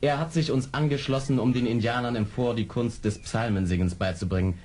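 An actor playing a proper young English army major in a radio play, with correct, brisk officer's diction. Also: male voice, mid-range voice, fairly even intonation, a little mellow, close to an everyday voice. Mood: polite. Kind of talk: German radio play acting. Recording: hissy old radio play record.

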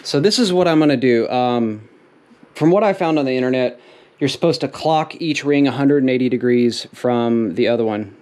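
A man's voice: mid-range voice, moderate pace, a little mellow. Grumbly man explaining engine work. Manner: rambling, grumbly, filler-heavy explaining. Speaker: DIY mechanic vlogger. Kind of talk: casual hands-on tutorial. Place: small wooden workshop shed. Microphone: camera mic while working.

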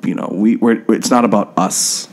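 A man from Eritrea who came to America young, a comedian talking casually and haltingly as he is interviewed. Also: on the low side, fairly even intonation, darkish tone. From Eritrean, came to America as a child. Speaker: comedian and podcast co-host being interviewed. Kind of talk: casual chat.

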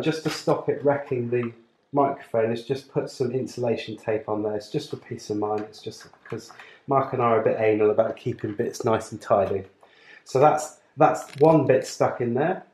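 A man's voice: mid-range voice, darkish tone. Man, roomy hands-on tutorial. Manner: chatty, explains step by step while working with his hands. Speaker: presenter of a motorcycle gear channel. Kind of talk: informal hands-on tutorial narration. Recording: roomy indoor sound, clear background noise, clicks.

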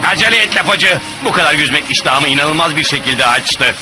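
A man's voice: a little high, lively intonation, brisk, music in the background, close to an everyday voice. A man playing a boastful, greedy, short-tempered pirate captain in a dubbed cartoon, talking pompously and theatrically. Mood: greedy, whining. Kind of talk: dubbed cartoon comedy acting.

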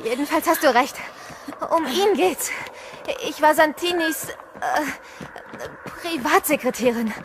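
Fugitive witness, horror audio drama, played by a woman: high-pitched, hard-edged, urgent, noisy ambience. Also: expressive, measured pace. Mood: tense, urgent. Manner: terse, urgent. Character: tough, urgent female mafia witness on the run. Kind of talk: German audio drama acting. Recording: clearly noisy ambient effects behind voice.

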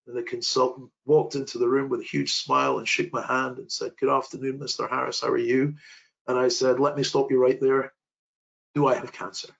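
A man's voice: mid-range voice, highs cut off. A British man in his thirties presenting a webinar from his home office, telling a personal story in an earnest, reflective way with some hesitations. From United Kingdom. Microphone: video call webcam.

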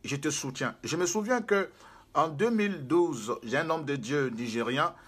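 A man preaches straight into a close phone camera from a small room, driving his points home by repeating them. His voice sits a little higher than most men's, and he talks with busy hand gestures. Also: animated, moderate pace, husky. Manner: emphatic, repetitive, gesturing with hands. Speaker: prophet and spiritual guide.

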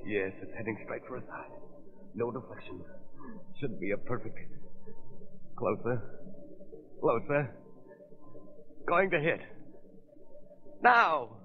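A gloating, excitable submarine madman. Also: medium pitch, slow, pauses often. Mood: tense. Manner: grandiose, barking orders, gloating. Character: gloating megalomaniac pirate submarine commander, cruel and excitable. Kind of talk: 1930s radio drama acting. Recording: muffled, noisy 1930s radio recording.

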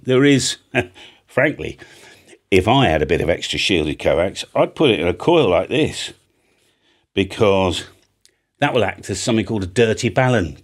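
An older British man with a low-pitched voice presents a casual hobby tutorial. He is chatty and plain-spoken, working things out aloud as he explains. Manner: chatty, blunt, thinks aloud. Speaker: radio hobby video presenter. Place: home music studio room with drum kit. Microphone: large studio microphone on an arm, close by.

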